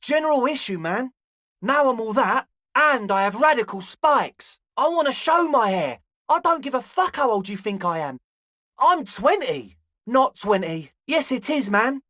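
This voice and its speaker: Young raver dreamer for a game, really high voice, swoops up and down, earnest slacker talk that gets kinda pompous. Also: male voice, very animated, unhurried, pushed and bright, no room sound, clean studio sound, heavily acted. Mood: defensive. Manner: slacker slang mixed with grandiose phrasing. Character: balding twenty-year-old raver dreamer, eager, earnest, slightly pompous. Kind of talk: video game character dialogue.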